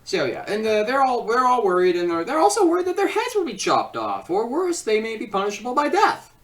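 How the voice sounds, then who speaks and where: Woman, casual film reviewer, rambling, comedic, lively swooping pitch, distant microphone. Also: a little fast. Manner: rambling, excitable delivery.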